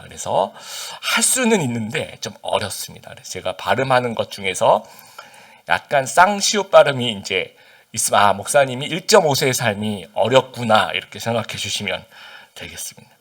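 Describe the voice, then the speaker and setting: Male pastor, from Busan, faint Busan accent, lively rising-and-falling pitch, chatty sermon delivery, asks the room questions. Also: normal speed, husky. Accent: slight Busan dialect under standard Seoul Korean. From Busan, South Korea. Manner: conversational, frequent hesitation fillers, asks the congregation questions.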